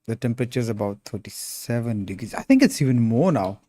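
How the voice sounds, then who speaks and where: A young man with an Indian accent chats loosely while he works, his voice warm and mellow. He reads viewer messages aloud and throws in "bro" as he goes. The sound is dry and close, with no room around it. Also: mid-range voice, sing-song, fast talker, no room sound. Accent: Indian English. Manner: laid-back, calls viewers bro, reads chat aloud. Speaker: sculpting streamer. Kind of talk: casual livestream chat.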